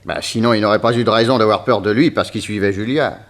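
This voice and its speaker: An actor playing an alert, cautious assistant to a detective in a radio drama. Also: male voice, lowish voice, quick pace, natural voice.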